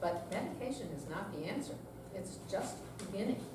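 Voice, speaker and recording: Heard from a distance in a very reverberant room with steady noise, this is an actress playing a seventy-year-old woman in a staged reading. She is earnest and confiding, weary yet resolute, and speaks in a deliberate, scripted way. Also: medium pitch, natural voice. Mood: weary, exasperated. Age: older. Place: senior center room, live performance. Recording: echoey room, distant mic, clear background noise.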